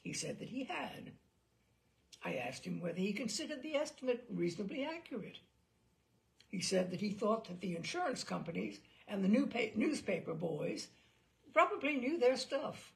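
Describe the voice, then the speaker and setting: A woman reading a memoir aloud as a narrator, in a wry and expressive storytelling manner. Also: medium pitch, moderate pace, gravelly. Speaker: reader. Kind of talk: audiobook narration.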